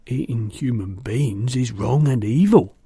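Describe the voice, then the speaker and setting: Children's storybook role: man playing a soft-hearted giant, earnest and innocent, husky and creaky, muddled grammar. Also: mid-range voice, unhurried, no room sound, studio quality. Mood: innocent, dignified. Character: gentle, kindly, innocent giant, earnest and protective.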